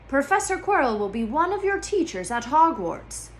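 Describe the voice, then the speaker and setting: A woman's voice: high voice, sing-song, normal speed, slight background noise, voice pushed up. A woman voicing a huge, hearty, plain-spoken man in a storybook reading.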